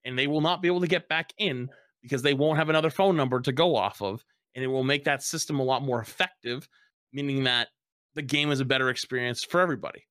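A man's voice: medium pitch, quick pace, fairly bright tone, no echo, studio quality. A young man speaking bluntly in a casual panel discussion, swearing freely as a streamer sharing his view.

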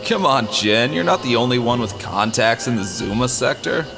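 A man's voice: medium pitch, lively intonation, normal speed, music in the background, natural voice. A man playing a cocky, flirtatious space smuggler in an audio drama, smug and teasing.

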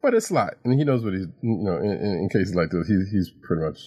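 A man co-hosting a comic book podcast, talking casually and haltingly with many restarts. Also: deep voice, very animated, mellow, husky, highs cut off. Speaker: podcast co-host.

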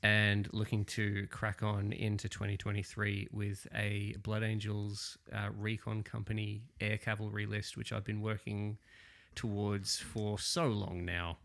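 A man's voice: lowish voice, monotone, unhurried, creaky, hardly any pauses, a bit bright. An Australian man hosting a casual group podcast, chatty and self-deprecating, laughing often. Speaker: podcast host.

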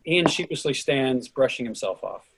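A man's voice: mid-range voice, lively intonation, moderate pace, creaky, a bit bright, husky. A man narrating scripted stage directions for a play reading.

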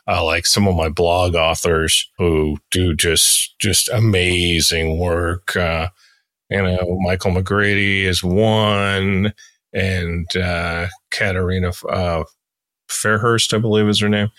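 A man with a low-pitched voice hosts a relaxed-format interview. He talks conversationally and a little haltingly, piecing his thoughts together as he goes. The recording is dry, without audible room. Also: no echo. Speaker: podcast host, interviewer.

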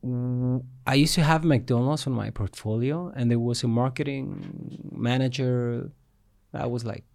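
Gravelly-voiced man interviewing. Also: mid-range voice, steady tone, measured pace, darkish tone, no room sound, studio quality. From Cyprus. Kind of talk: casual conversational interview.

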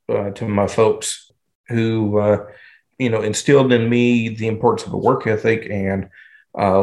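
An American man in his fifties from southern Indiana, answering interview questions conversationally in a reflective, halting way. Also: lowish voice. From southern Indiana, United States. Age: 50s. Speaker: podcast guest, training director and researcher.

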